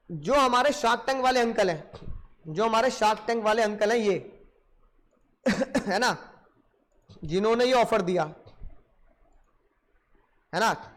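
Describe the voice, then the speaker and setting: A man's voice: sits high, a bit bright. A male teacher with a slightly sore throat lecturing students directly, repeating key phrases for emphasis. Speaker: commerce teacher. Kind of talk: animated classroom lecture in Hindi-English mix.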